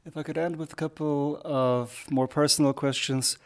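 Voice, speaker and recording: Polite podcast host, slightly high voice. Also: male voice, fairly even intonation, normal speed. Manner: polite, precise phrasing. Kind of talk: interview questions and scripted outro.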